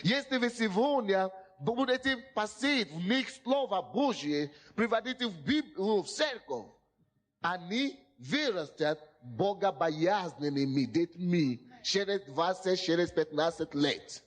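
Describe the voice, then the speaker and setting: A man preaches to a gathered congregation in a high, bright voice that swings widely up and down. His exhortation is repetitive and direct, in slightly broken non-native phrasing, and he keeps asking his listeners whether they hear him. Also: animated, slow. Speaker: pastor. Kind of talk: sermon. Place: in front of a congregation.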